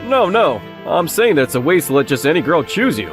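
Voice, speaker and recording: A man voicing a hearty, flattering senior office colleague in a comic dub, effusive and familiar. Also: on the high side, fairly quick, faint music behind. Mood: teasing. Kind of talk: anime-style dub acting.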